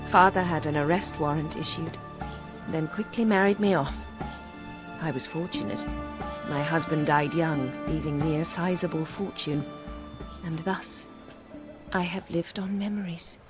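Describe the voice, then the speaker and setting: A woman playing a wealthy aging countess in a video game, wistful and genteel, with formal, measured speech. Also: deep voice, steady tone, over music. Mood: wistful, confessional. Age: older.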